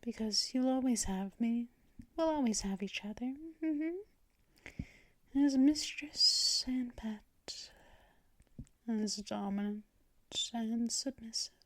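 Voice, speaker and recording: A woman voicing a gentle, possessive female vampire mistress in an ASMR roleplay, speaking softly and often dropping into whispers and shushing. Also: fairly high pitch, slow, pauses often, no echo. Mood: soothing. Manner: soft and soothing, drifting into whispers and shushing. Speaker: ASMR performer.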